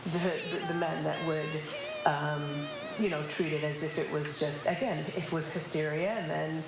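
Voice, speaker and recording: Woman, low voice, halting interview explanation, reflective, roomy sound, loud music behind. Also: fairly even intonation, gravelly. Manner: hesitant, reflective, halting phrasing.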